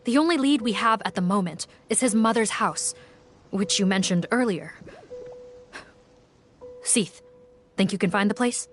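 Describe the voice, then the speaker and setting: An actress playing a composed, serious female investigator with a measured, perceptive manner in video game dialogue. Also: mid-range voice, slight background noise, close to an everyday voice. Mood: grave.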